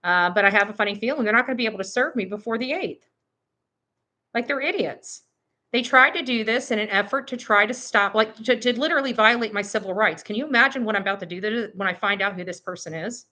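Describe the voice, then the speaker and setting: Woman on a livestream, bright forceful voice, blunt and combative, repeats stuff for emphasis, casual rambling to viewers. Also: medium pitch, fast talker. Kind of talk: casual livestream monologue, reading and answering chat comments.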